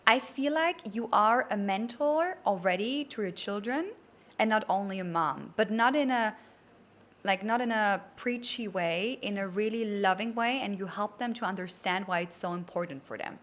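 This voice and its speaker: A woman's voice: mid-range voice, moderate pace, a bit bright. A woman hosting a podcast, chatting casually and enthusiastically with an effusive, complimentary manner. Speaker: podcast host. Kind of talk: casual enthusiastic interview chat.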